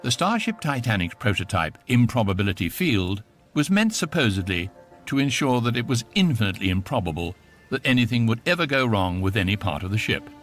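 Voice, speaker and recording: A man acting the urbane, authoritative voice of a galactic guidebook, narrating in a grand, droll, measured radio comedy style. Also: fairly low pitch, very animated, soft background music. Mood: grandly droll. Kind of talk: scripted mock-documentary narration in radio comedy.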